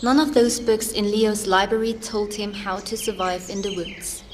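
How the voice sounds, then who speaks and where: A woman's voice: mid-range voice, steady tone, normal speed, a little hiss or hum, natural voice. A woman playing an earnest, dramatic storyteller who narrates a fairytale audio drama and is easily irritated. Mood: evocative. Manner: dramatic, evocative.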